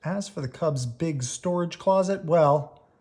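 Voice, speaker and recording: A storybook reader giving an expressive children's read-aloud, leaning on key words with drawn-out emphasis. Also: mid-range voice.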